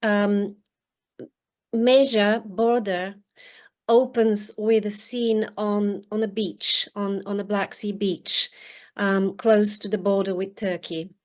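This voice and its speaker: Guest author, woman, forties, from Bulgaria; slow and hesitant delivery over a video link. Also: medium pitch, level tone. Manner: halting, many restarts and ums. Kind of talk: reflective interview answers. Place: home in rural northern countryside. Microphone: video call.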